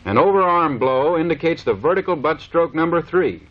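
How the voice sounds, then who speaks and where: A man's voice: fairly high pitch, lively intonation, moderate pace. An American man giving formal, clipped, scripted instructional narration in the manner of a 1930s military training film. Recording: dull vintage 1930s film soundtrack.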